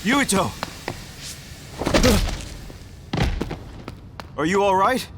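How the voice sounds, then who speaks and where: A man playing a brisk, protective, self-assured military commander in a video game. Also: medium pitch, expressive, music in the background, natural voice. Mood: urgent, concerned. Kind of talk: scripted video game voice acting.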